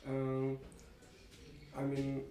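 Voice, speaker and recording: A man's voice: medium pitch, completely flat delivery, extremely slow, noisy background. A young man from France, a student volunteer giving casual, rambling, tentative answers in an interview. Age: young adult. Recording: roomy echo.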